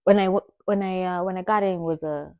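A woman's voice: fairly low pitch, fairly even intonation, fast talker, no echo, lo-fi sound. A young woman in a casual chat, rambling and restarting her sentences. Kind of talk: casual chat switching between Swahili and English.

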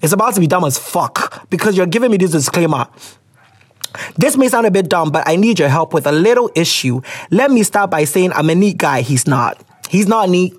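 Ghanaian-accented woman podcast host, husky, lively pitch, casual and profane. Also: a little fast. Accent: Ghanaian English. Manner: laughs a lot, swears, animated reactions. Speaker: podcast host reading listener letters. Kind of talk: casual chat.

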